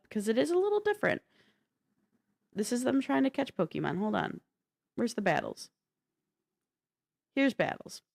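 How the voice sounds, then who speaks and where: A woman presents tech and gaming news as a co-host. Her delivery is animated, with lively swings in pitch at a middle register. She talks conversationally, mixing news items with off-the-cuff chat.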